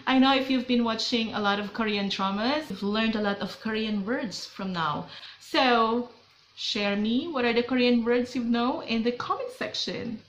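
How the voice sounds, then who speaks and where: High-voiced chatty Filipino woman vlogger. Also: moderate pace, roomy sound, slight background noise, rough recording. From Philippines. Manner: chatty, upbeat, playful. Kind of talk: casual vlog to camera. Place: small bedroom indoors.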